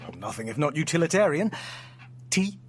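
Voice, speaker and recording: A man's voice: a little high, big pitch swings, fairly quick, slight background noise. An actor playing an erudite, teasing man with formal, playfully theatrical speech in an audio drama. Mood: teasing, dry. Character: erudite, teasing man, fond host and storyteller. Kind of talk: naturalistic audio drama dialogue.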